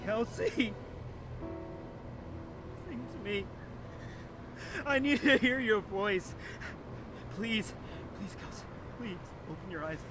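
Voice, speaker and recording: An actor playing an obsessive, jilted young man turned killer, slow and intense, in a video game drama. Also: high voice, animated. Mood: desperate pleading. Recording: loud music over the voice.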